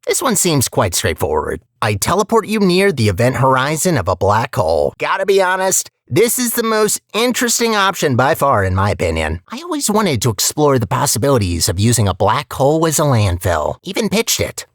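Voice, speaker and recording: A woman's voice: a bass voice, wildly swooping pitch, fairly quick, hardly any pauses, no echo, clean studio sound, heavily acted. A woman voicing a scheming, sarcastic AI villain in a video game, chatty and mock-polite in a theatrical way. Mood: gleefully sinister. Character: female scheming AI villain, breezily cheerful, sarcastic and murderous. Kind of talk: video game character dialogue.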